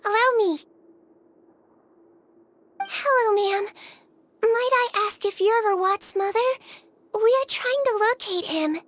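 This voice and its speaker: Tiny, high-pitched gentle nurse creature. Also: female voice, takes it slowly, pauses often, a little hiss or hum, heavily acted. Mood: polite. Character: small gentle Melusine head nurse, female, childlike, earnest. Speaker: nurse and doctor character. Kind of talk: video game voice acting.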